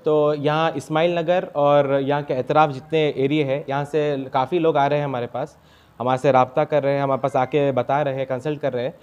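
A young man, a doctor answering interview questions haltingly, with broken starts and English medical terms. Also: on the high side, steady tone, a little mellow, clear, resonant tone. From India. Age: young adult. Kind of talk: interview answers at a health camp. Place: small clinic room, indoors. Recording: dull lo-fi sound.

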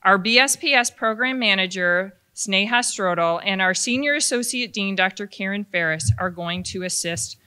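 Bright-voiced woman presenting at a ceremony. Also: mid-range voice, moderate pace, few pauses, clear, resonant tone. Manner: friendly, lightly joking, formal announcements. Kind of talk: commencement address at a podium. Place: large wood-panelled hall with an audience. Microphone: podium microphone.

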